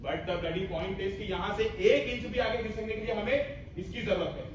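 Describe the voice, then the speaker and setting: Casting: male actor as high-voiced, authoritative army major, principled and emphatic, stage play, recorded far off in an echoing hall. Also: normal speed, lightly acted. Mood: insistent. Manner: authoritative, emphatic, mixes in English orders. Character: commanding, principled Indian army major guarding a prisoner. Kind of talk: theatrical Hindi stage acting. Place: stage of a hall at a student festival. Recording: echoey hall, far mic, faint noise.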